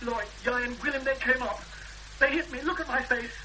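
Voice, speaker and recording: An actor playing a beaten, bitter, self-pitying recluse in a 1970s British radio drama, halting and plaintive in his protests. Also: very high-pitched, steady tone, bright, forceful tone, exaggerated voice. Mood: protesting, distraught. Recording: faintly noisy, humming old radio broadcast.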